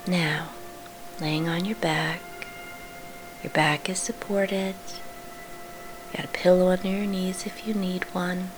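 A woman with a breathy, often whispery voice gently guides a sleep meditation, hushed and unhurried, with reassuring instructions. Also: fairly low pitch, level tone. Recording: clearly audible background hum.

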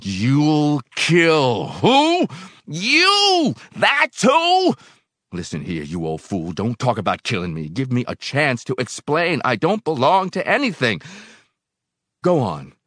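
A man's voice: mid-range voice, big pitch swings, normal speed, fairly bright tone, no room sound, clean studio sound, pitched above the performer's own voice. Guy acting a worked-up young worker in an audiobook, raised voice, urgent, confused but ready to push back. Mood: angry, defiant. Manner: urgent, stammering, crying out. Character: tense, bewildered younger male worker, pleading then defiant. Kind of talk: dramatic audiobook dialogue.

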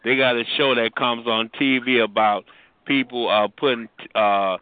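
A man's voice: medium pitch, steady tone, moderate pace, smooth, no room sound. A man hosting a casual conversation who keeps it moving with follow-up questions and short replies. The sound is dry and narrow, like a phone line. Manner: interviewer who asks follow-up questions and backchannels. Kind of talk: casual chat.

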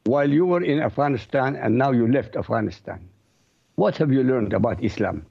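A man from Iraq whose first language is Arabic, questioning a radio guest in a deliberate, emphatic way. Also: mid-range voice, lively intonation, vocal fry, breathy edge. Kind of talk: conversational radio interview. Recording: slightly muffled, lo-fi call-in line.

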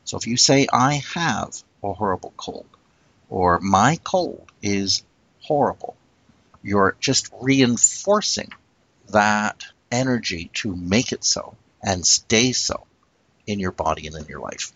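A man co-hosting a casual discussion, talking in an earnest, reflective way and slowing down to stress his points. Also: on the low side, lively intonation, fairly bright tone, breathy edge, no room sound, low-quality recording. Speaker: podcast co-host.

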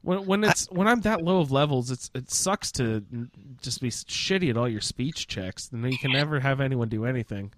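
A man's voice: medium pitch, expressive, brisk, hardly any pauses, background noise. A man hosting a podcast, chatting casually in a roundtable about a video game. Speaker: podcast host.

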